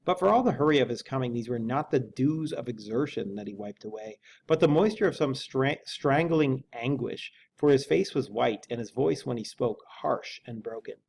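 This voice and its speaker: A man's voice: mid-range voice, lively intonation, normal speed, creaky, hardly any pauses, a little mellow, husky. A man speaking as an English teacher, reading a novel aloud and explaining it informally.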